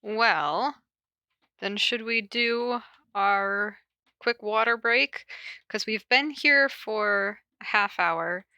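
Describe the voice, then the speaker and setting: A woman with a bright, forward voice and a close, dry sound. She talks informally as a podcast host, moving between casual story reading and chat, with a giggly, self-interrupting manner. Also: mid-range voice, very slow, smooth, dry recording. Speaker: podcast host reading fan fiction aloud.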